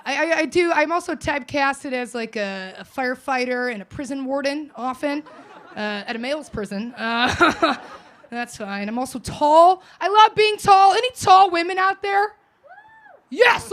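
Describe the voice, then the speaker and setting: An American woman performing stand-up with crowd work, brash, loud and self-mocking. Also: sits high, expressive, a little slow. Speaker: stand-up comedian.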